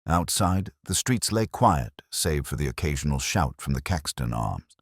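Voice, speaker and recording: A man narrating a detective mystery in measured, literary storytelling. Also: very deep voice, very animated, slightly rough, no echo, clean studio sound.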